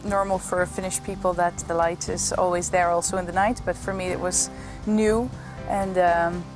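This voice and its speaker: A woman's voice: on the low side, little pitch movement, normal speed, over music. A woman from the Netherlands whose first language is Dutch, talking in a thoughtful, friendly way and sometimes searching for words, in a documentary interview. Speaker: band vocalist.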